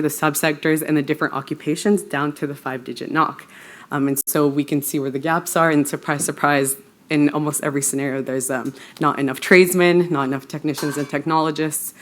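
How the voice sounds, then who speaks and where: A woman's voice: sits low, steady tone, a little fast. A woman giving an informal technical explanation in a live conference presentation. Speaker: researcher presenting a poster pitch.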